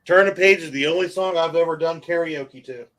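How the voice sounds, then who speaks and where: A man's voice: on the high side, fairly quick, fairly bright tone. A man in his forties chatting casually with short, blunt remarks. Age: 40s. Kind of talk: casual chat.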